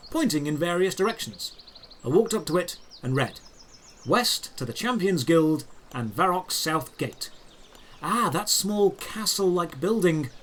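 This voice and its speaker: A man narrating a first-person fantasy audio drama in a deliberate, descriptive way with dramatic pauses. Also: a little high, fairly bright tone. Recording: clear background noise with birdsong. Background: birdsong and outdoor ambience effects.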